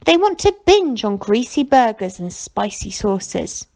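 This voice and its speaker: Storybook casting: wistful rough-edged fairground man played by a woman, folksy dialect, expressive pitch, some gravel. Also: a little slow. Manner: folksy dialect speech, marvelling.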